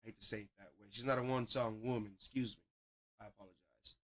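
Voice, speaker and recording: A man's voice: lowish voice, fairly even intonation, fast, fairly bright tone. A man hosting a music review livestream, giving casual, slangy, off-the-cuff commentary on songs. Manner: slangy, informal. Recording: music playing behind the voice. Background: music tracks being reviewed.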